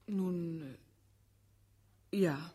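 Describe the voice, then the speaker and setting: A woman's voice: lowish voice, mellow, husky, exaggerated voice. An actress playing a reluctant, uneasy woman on the witness stand in a radio drama, speaking hesitantly. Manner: hesitant, halting. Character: reluctant, uneasy female witness, a paid companion. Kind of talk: German radio drama acting.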